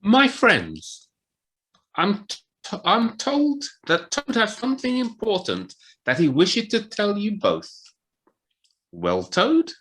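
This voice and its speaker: A woman voicing a gruff, bossy older badger who scolds with authority in an audio play. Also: mid-range voice, wildly swooping pitch, slow, a bit bright, heavily acted. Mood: stern. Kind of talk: community audio play acting. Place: living room, recorded over video call.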